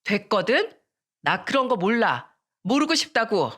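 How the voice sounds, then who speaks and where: A woman's voice: mid-range voice, animated, moderate pace, fairly bright tone. A woman voicing a touchy, defiant teenage girl in a dramatized story, with a curt, confrontational way of talking.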